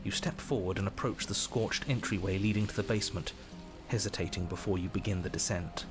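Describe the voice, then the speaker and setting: A man's voice: low-pitched, brisk, lightly acted. A man playing a stern, exasperated narrator in a horror video game, articulate and theatrical. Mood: grave, descriptive. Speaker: game narrator and character voices. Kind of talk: scripted theatrical narration and character acting. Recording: music behind the voice.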